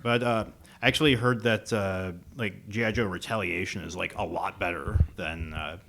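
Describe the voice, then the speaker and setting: A young man co-hosting a casual podcast, speaking in a low-key, hesitant way with many fillers. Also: steady tone, moderate pace, vocal fry, fairly bright tone. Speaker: podcast co-host. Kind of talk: casual chat.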